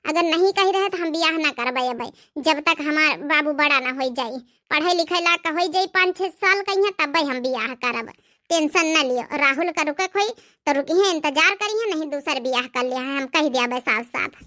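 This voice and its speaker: A woman's voice: high voice, normal speed, sharp tone. A woman with an Awadhi accent voicing a stubborn, devoted young aunt who argues firmly in a cartoon story. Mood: firm, defiant. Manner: firm, argumentative. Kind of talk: amateur cartoon story dialogue.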